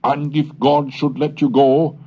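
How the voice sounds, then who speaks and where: A man's voice: a little high, flat delivery, unhurried, warm tone. A man reading aloud as a fiery colonial preacher, solemn and emphatic, on a dull old cassette recording. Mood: solemn, warning. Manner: solemn, rising, emphatic delivery.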